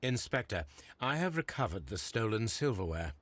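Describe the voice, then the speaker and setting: An actor playing a brilliant, self-assured Victorian detective in a video game, with precise, formal English speech. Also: male voice, lowish voice, very animated, moderate pace, fairly bright tone, dry recording. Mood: confident. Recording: clear background noise.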